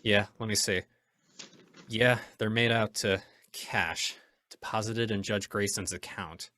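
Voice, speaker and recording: A man's voice: lowish voice, fairly even intonation, slow pace, sharp tone. A man acting a stern, commanding masked vigilante in an old-time radio drama, speaking in firm, menacing declarations. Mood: guarded, deliberate. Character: masked male crime-fighting vigilante, stern, commanding, resolute.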